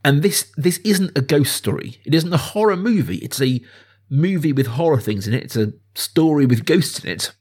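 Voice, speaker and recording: A man's voice: fairly high pitch, expressive, normal speed, a little mellow, husky. A man hosting a podcast in a casual, chatty way, discussing film informally with asides to the listeners. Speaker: podcast host.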